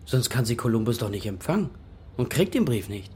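A man's voice: mid-range voice, sing-song, fairly quick, darkish tone, a little hiss or hum, natural voice. An actor playing an easygoing, teasing grown man who chats with a child in a children's radio play. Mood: gently teasing.

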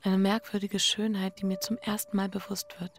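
Eine Frau als verängstigte, schuldbewusste Ehefrau im Hörspiel. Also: female voice, mid-range voice, little pitch movement, normal speed, close to an everyday voice. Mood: quiet, pensive. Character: anxious, guilt-ridden adulterous married woman. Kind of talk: radio drama acting. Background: music.

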